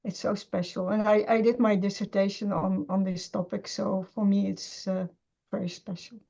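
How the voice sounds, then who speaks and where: A middle-aged woman talks as an academic guest in an interview. She hesitates often and restarts sentences, in a mellow, warm voice. The sound is dull and lo-fi, as over a video call. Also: medium pitch, little pitch movement, moderate pace, vocal fry. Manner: hesitant, many fillers and restarts. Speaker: interview guest, scholar of Semitic languages. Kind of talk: conversational interview.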